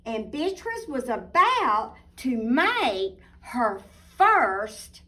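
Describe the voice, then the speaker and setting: A woman reading a picture book aloud expressively, deliberate and emphatic, with little asides to young listeners. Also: fairly high pitch, very animated, sharp tone. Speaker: children's storybook reader, teacher. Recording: distant microphone.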